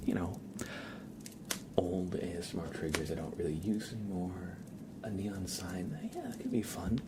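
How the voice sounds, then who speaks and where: A man hosting a live ASMR stream in a soft, often whispered voice, chatting informally in loose, hesitant phrases. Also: low voice, normal speed. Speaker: ASMR livestream host. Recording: clear background ambient noise.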